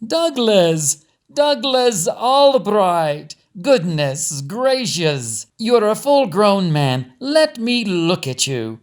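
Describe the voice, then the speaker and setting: Man putting on a gushing, high-pitched small-town woman. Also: sing-song, exaggerated voice. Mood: gushing, delighted. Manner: exclamatory, drawn-out, fussing delivery. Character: gushing, effusive middle-aged small-town beautician woman.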